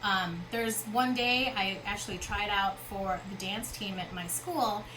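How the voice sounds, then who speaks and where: A woman's voice: medium pitch, measured pace, pushed and bright. A woman from the Philippines, founder of a company, giving a motivational talk at an expo in a conversational way, often checking in with the audience. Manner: conversational, with frequent fillers and check-ins. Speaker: founder and keynote speaker. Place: expo hall. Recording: noisy expo hall.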